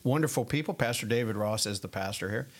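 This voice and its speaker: A man's voice: lowish voice, quick pace. Chatty laughing male podcast host. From United States. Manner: chatty, laughs often, many fillers. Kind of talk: casual chat.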